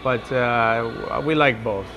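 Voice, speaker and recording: A man's voice: mid-range voice, slow pace, lo-fi sound. Candid Canadian-born man in a cafe. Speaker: coffee shop owner. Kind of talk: casual interview. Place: inside a cafe.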